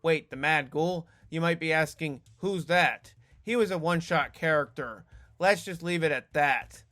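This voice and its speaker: Male host for a scripted history essay, slightly high pitch, dry humorous asides. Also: normal speed, a bit bright. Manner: wry, with joking asides to the viewer. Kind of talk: scripted video essay narration.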